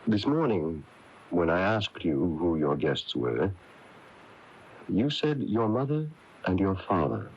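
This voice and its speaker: Deep-voiced probing small-town doctor. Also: male voice, sing-song, husky, heavily acted. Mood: gently probing. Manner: measured, gently questioning. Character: calm, probing small-town doctor, a concerned male confidant. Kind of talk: 1980s radio drama acting. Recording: dull lo-fi taped radio broadcast.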